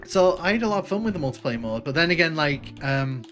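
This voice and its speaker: A man with a northern English accent and a slight Liverpool twang, chatting casually and a little haltingly to viewers as he hosts a gaming livestream. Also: on the high side, expressive, fairly bright tone, soft background music. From north of England, UK. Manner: chatty, informal, halting with repeated phrases. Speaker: livestream host, gaming streamer. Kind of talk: casual live stream chat with viewers over gameplay.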